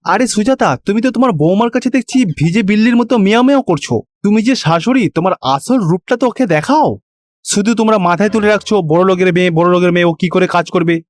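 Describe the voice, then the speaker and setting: A man acts out a shrewd older village father-in-law in a cartoon. He reasons and admonishes in a high, dry-sounding voice. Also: fast talker, dry recording, close to an everyday voice. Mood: scolding.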